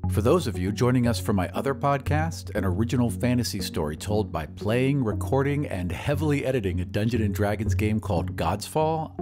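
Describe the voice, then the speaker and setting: Expressive male storytelling narrator. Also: lowish voice. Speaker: podcast host and narrator.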